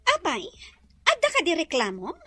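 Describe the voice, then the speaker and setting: A woman with a Filipino Ilocano accent acting a haughty, sharp-tongued young madam in an audio drama, curt and imperious. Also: very high-pitched, wildly swooping pitch, moderate pace, full character voice.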